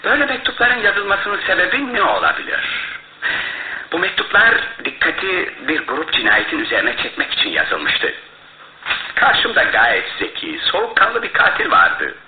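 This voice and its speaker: An actor in a radio play as a shrewd, self-assured male detective who explains his case in a theatrical, deliberate way. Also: high-pitched, slight background noise. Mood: expository, confident. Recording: muffled, roomy radio drama recording.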